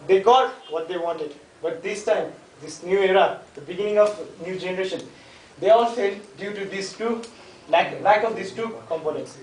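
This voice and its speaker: A man's voice: high-pitched, moderate pace. A young man gives a hesitant, joking class presentation and quizzes the class. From Nepal. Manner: hesitant, jokey, addresses the class. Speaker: student presenter. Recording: echoey classroom, mic far off.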